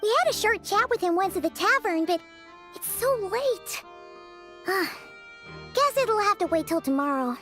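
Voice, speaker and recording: Tiny, very high-pitched childlike fairy. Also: female voice, expressive, a little slow, pauses often, pushed and bright, faint music behind, exaggerated voice. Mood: eager, wistful. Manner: refers to herself in third person, emphatic. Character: small childlike floating fairy companion, chirpy and earnest. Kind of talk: video game voice acting.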